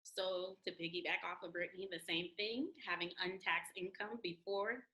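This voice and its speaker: A woman from Fort Lauderdale who is a teacher, chatting in an animated way with laughs and slang on a video call. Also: fairly low pitch, moderate pace, low-quality recording. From Fort Lauderdale, Florida, US. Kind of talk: casual video-call chat.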